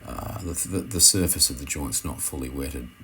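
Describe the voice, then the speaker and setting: A man giving an unscripted how-to commentary as an instructor, speaking in a halting way and often correcting himself. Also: a bass voice, fairly bright tone, slight background noise. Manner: hesitant, halting, self-correcting.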